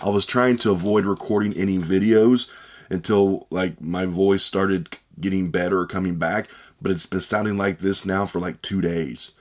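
A man in his thirties with a hoarse voice he says he is losing, chatting casually as he unboxes items at a desk indoors. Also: deep voice, steady tone, normal speed, darkish tone. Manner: chatty, rambling, upbeat. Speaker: unboxing channel host. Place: room with shelves of shoe boxes, indoors.

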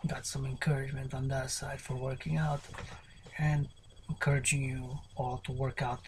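A man's voice: medium pitch, little pitch movement, moderate pace, slight background noise. A man in his thirties doing an unscripted fitness vlog in a small bedroom, repeating slogans in a preachy way and drifting into whispers, recorded on a webcam. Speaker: fitness vlogger. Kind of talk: rambling unscripted workout talk. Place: small cluttered bedroom with tapestries. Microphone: webcam at desk distance.

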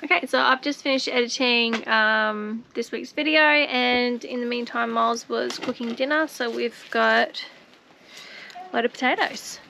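A woman's voice: on the high side, steady tone, a little slow, gravelly, very noisy. A woman, chatting cheerfully in a family travel vlog. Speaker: travel vlogger. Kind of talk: casual vlog chat.

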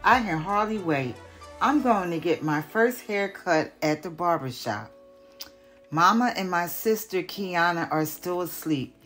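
An older woman, a retired teacher, reading a picture book aloud in careful, deliberate narration. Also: lowish voice, animated, fairly bright tone, soft background music.

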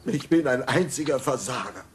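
An actor voicing a bumbling, self-pitying middle-aged cartoon father, gravelly, whiny and melodramatic. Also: mid-range voice, animated, moderate pace, slight background noise, lightly acted. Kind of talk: dubbed cartoon dialogue.

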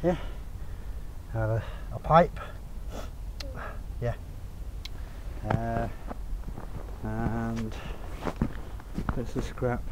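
A man's voice: drawn-out words, long pauses. A man in his forties with a Liverpool accent, talking casually to the camera as he magnet fishes on a canal towpath, often muttering to himself. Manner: muttering low and offhand to himself. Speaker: magnet fishing vlogger. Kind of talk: casual running commentary. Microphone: handheld camera or chest camera. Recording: outdoor wind and background noise.

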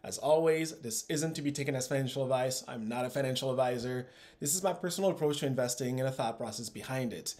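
Man, thirties, investing video host, mid-pitched, scripted commentary, upbeat, emphatic. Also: fast talker, darkish tone. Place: small room at home, indoors.

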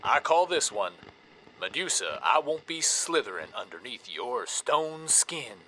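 A man's voice: medium pitch, sing-song, measured pace, music in the background, rough recording. A man playing a brooding young vampire country singer, laconic and folksy, in an audio drama. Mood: wry, laconic.

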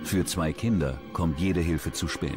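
A man giving serious, measured scripted narration for a true-crime documentary. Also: deep voice. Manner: measured, serious storytelling delivery. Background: steady background music.

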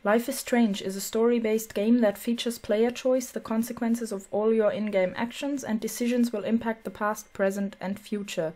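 A woman giving casual let's play commentary on a game, halting and muttering little asides to herself. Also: fairly high pitch, steady tone, dark tone. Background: video game music.

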